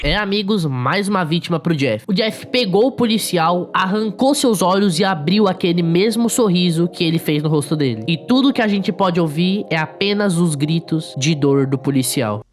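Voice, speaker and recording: Brazilian female narrator, slightly low pitch, informal horror storytelling. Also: animated, normal speed, few pauses. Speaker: video narrator.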